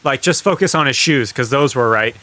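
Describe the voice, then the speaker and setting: Male co-host, bright mid-pitched voice, dry recording, wry casual banter with swearing and mimicked quotes. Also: brisk, no echo. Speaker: podcast co-host. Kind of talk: casual profane chat.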